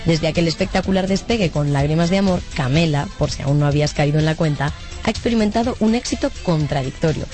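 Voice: medium pitch, fast, darkish tone. Teasing radio report over music. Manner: teasing narrated buildup.

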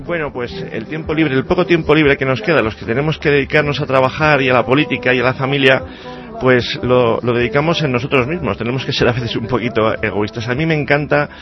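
A man's voice: mid-range voice, quick pace, muffled recording, music in the background. Earnest man in his forties. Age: 40s. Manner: earnest, hesitant with many restarts. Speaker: politician guest. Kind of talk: radio interview.

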